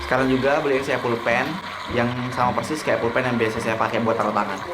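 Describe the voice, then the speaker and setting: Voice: medium pitch, little pitch movement, fast, echoey room, natural voice, rough recording. A performer playing an irritable, bossy male office boss, curt and sarcastic in scripted drama dialogue. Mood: irritable, scolding. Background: music and cooking sounds.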